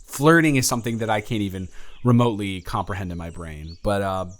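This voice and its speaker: A man's voice: lowish voice, brisk, lightly acted. Man acting as a flustered, rambling young college guy. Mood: flustered, affectionate. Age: young adult. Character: awkward, smitten, introverted young male college dormmate. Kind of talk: intimate romantic audio roleplay, conversational acting.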